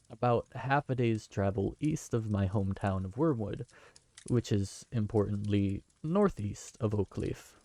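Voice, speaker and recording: A man's voice: fairly low pitch, mellow. A man playing a young man who just inherited a magical tavern, speaking in a polite, earnest, slightly flustered way. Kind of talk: fantasy audio drama acting.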